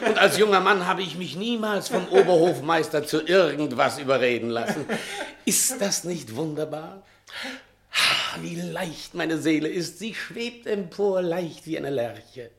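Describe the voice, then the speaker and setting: Repentant male rogue for a 1960s radio play: gravelly, declamatory, effusive, giddy with new innocence. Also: fairly high pitch, measured pace. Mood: giddy relief. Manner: declamatory, effusive, swinging from hushed to exclaiming. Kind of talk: theatrical 1960 German radio play acting.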